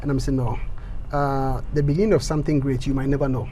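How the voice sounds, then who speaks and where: A Ghanaian man speaking in an interview, switching between English and Twi, animated and often repeating words as he stammers. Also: medium pitch, fast, a little hiss or hum. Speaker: interviewee, local election candidate.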